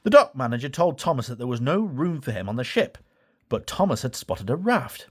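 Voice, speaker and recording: A man's voice: medium pitch, big pitch swings, fairly quick. A man reading a children's story as a scripted storybook narration. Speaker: story reader.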